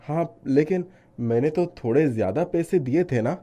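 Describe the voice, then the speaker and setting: A man voicing a devout, worried shopkeeper and devoted son in a story, speaking earnestly and gently. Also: fairly high pitch, animated, normal speed. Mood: gentle, caring. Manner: earnest, soft-hearted. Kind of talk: animated moral story dialogue.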